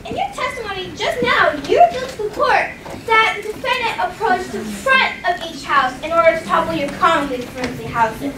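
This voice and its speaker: A woman's voice: very high-pitched, a little fast. A woman playing a cunning, theatrical lady prosecutor in a fairytale courtroom stage comedy, interrogating with heavy emphasis. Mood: accusing. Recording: echoey stage from far off, loud noise.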